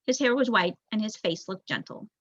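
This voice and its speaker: A woman's voice: medium pitch, fairly quick, dry recording, lo-fi sound. A young woman reads a storybook aloud as narration, expressively, with an occasional stumble. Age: young adult. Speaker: volunteer audiobook reader.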